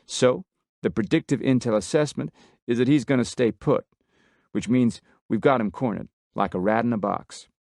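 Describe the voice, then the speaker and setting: A man voicing a brisk male American commander with a Texan drawl, giving a loud, commanding briefing. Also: mid-range voice, vocal fry, dry recording, studio quality, pitched above the performer's own voice, lightly acted. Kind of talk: audiobook character voice.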